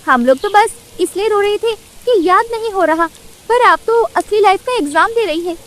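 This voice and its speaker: A young college student fretting about exams, as a woman plays her. The voice sits very high and bounces around a lot. It is a storybook cartoon voice. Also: lively intonation, fairly bright tone. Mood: apologetic. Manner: dismissive at first, quick to apologize.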